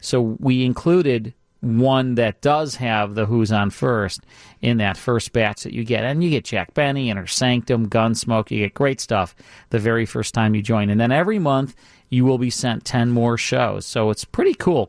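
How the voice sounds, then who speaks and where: A man's voice: medium pitch, no echo. American male radio host, dry studio sound. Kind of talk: casual radio chat and promotion.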